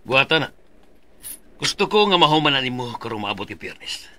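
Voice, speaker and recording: A man's voice: mid-range voice, very animated, a little fast, bright, forceful tone. An actor with a Cebuano accent playing a curt, domineering male office manager in a radio drama. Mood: commanding. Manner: curt orders mixing English.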